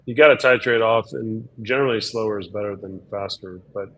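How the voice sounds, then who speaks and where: A slightly hoarse man, a doctor, explaining things in an easygoing way with lots of fillers during a casual webinar chat. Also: on the low side, brisk, fairly bright tone, poor audio.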